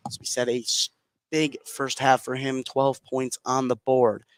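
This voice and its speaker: A man's voice: medium pitch, level tone, measured pace, background noise. A man doing live play-by-play commentary on a basketball game. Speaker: play-by-play sports announcer. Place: indoor high school basketball game.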